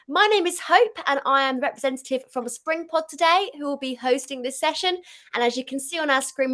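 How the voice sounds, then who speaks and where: A young woman with a high, light voice hosts an online webinar. She talks in a chatty, friendly way, dropping in jokey asides, and sounds like she's speaking into a laptop or headset mic on a video call. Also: a bit bright. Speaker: webinar host. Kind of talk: upbeat scripted webinar hosting.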